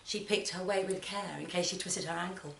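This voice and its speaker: A woman giving a measured, expressive live reading of a short story, with deliberate pauses. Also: fairly low pitch, gravelly. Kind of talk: dramatic literary reading to a live audience. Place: performance space in a library centre. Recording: roomy, distant mic, background noise.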